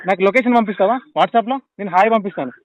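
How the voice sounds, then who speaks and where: A man's voice: high-pitched, quick pace, no room sound. A man with a Telangana Telugu accent speaking as a police officer on an urgent phone call, insistently repeating short questions and instructions. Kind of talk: urgent emergency phone conversation. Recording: close dry phone call recording.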